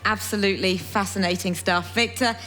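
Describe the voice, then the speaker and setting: A woman's voice: medium pitch, fairly even intonation, a little fast, fairly bright tone, soft background music, low-quality recording. A woman presenter interviewing a guest live on stage.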